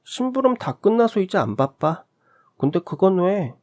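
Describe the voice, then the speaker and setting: A man's voice: sits high, very animated, moderate pace, a little mellow, voice pushed up. A man voicing a cheerful, polite young boy who has just moved into the neighborhood and is eager to make a friend. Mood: friendly.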